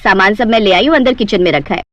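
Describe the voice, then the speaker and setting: A woman's voice: mid-range voice, fast, fairly bright tone, natural voice. A woman voicing a bossy, stingy rich housewife with a curt manner in a Hindi cartoon story. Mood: pleased, instructing.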